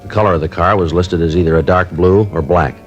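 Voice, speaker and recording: Man acting no-nonsense police sergeant, clipped deadpan delivery, rapid pace, old radio drama. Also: low voice, highs cut off, faint music behind, natural voice. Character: terse male police sergeant, matter-of-fact. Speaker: police detective narrator. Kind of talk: 1950s radio drama narration.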